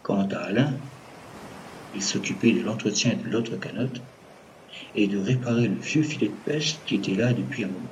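A man narrating a scripted audio drama as a storyteller, in measured short phrases. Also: fairly low pitch, a little mellow, a little hiss or hum, poor audio. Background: music.

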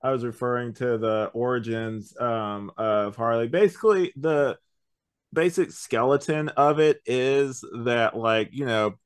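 A man's voice: medium pitch, a little slow, clear, resonant tone. A man hosting a podcast, talking through a review in a casual, rambling, conversational way. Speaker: podcast host. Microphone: online video call recording.

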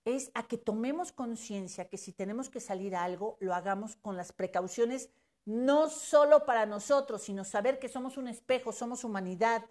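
A woman's voice: a little high, moderate pace, darkish tone. A Mexican woman in her fifties giving an emphatic, preachy motivational talk on social media, with casual swearing. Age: 50s.

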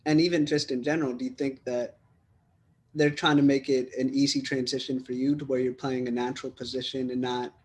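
A man's voice: medium pitch, flat delivery, a little fast. A man from the United States interviewing a footballer, asking long, rambling questions in a chatty way.

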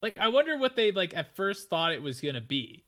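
A man from the Midwest hosting a casual podcast, with a nasal voice, rambling and excitable, rising high when he gets worked up. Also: brisk, a little hiss or hum. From American Midwest. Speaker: podcast host.

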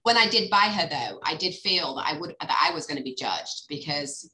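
A woman hosting a podcast, chatty and animated, laughing often and restarting her sentences. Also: low voice, a little fast. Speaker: podcast host. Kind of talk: casual interview chat. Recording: roomy echo.

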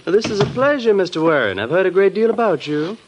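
An actor playing a smooth, falsely polite suspect in a 1940s radio drama, mocking and sly. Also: male voice, high-pitched, sing-song, a little fast, background noise. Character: smooth, mocking male villainous businessman. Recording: muffled old radio recording.

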